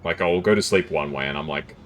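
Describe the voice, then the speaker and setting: A man with a deep voice streaming a game, chatting casually with viewers and thinking aloud as he plays. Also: fast talker, faint music behind. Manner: relaxed, chatty, thinks aloud while reading chat. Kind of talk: casual gameplay chat with viewers.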